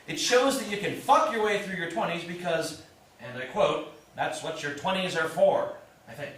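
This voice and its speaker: A man's voice: high-pitched, normal speed, a bit bright. Echoey, distant sardonic millennial son played by a man. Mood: dry, sardonic. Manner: dry, mocking, profane. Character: sardonic, self-deprecating washed-up musician son, around thirty. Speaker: actor in a staged play reading. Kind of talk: staged reading, naturalistic dialogue. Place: indoor staged reading, recorded from afar. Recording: echoey room, distant mic, faint noise.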